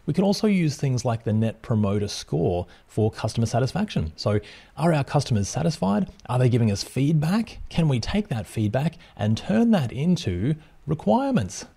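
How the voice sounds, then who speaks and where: A man's voice: medium pitch, moderate pace, creaky, husky. A man in his thirties explaining project plans over slides, speaking steadily with occasional ums and uhs. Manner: plain, steady teaching with frequent fillers. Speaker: project management instructor.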